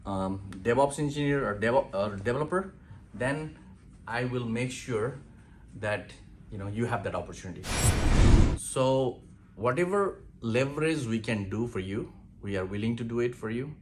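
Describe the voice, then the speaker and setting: A man's voice: medium pitch, lively intonation, normal speed, background noise. A man from Nepal with a Nepali accent, welcoming listeners to his university in an earnest, plain-spoken way with many fillers. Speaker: university founder. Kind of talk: promotional welcome talk.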